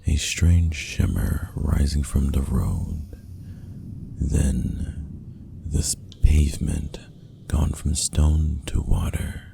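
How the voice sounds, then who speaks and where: A man playing a stern, possessive ruler of an underground city in a fantasy ASMR roleplay, speaking formally in a gravelly, hushed half-whisper. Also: extremely low pitch, animated, slight background noise, heavily acted. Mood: curious, musing. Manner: formal, archaic, commanding, measured.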